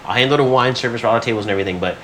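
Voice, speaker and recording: A man's voice: medium pitch, rapid-fire, bright, forceful tone, a little hiss or hum. A man telling stories in casual podcast banter, slangy and profane, often acting out what people said. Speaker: podcast co-host.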